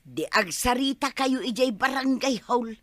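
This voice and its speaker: A woman's voice: on the high side, lively intonation, measured pace, dry recording. A woman playing a chatty, friendly but readily indignant neighbour, speaking emphatically in a comic radio drama. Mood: aggrieved.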